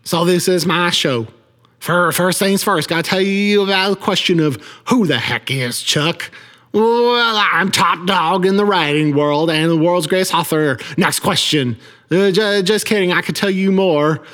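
A man hosting a podcast, introducing the show in a halting, folksy, oddly worded way with frequent stammers. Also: high-pitched, a bit bright, in a large hall. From Billings, Montana. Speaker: podcast host. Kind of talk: quirky scripted host monologue.

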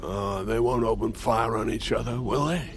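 A man's voice: fairly low pitch, normal speed, crowd noise, close to an everyday voice. An actor playing an older man, a wry and nervous adventurer in an audio drama. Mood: wry unease.

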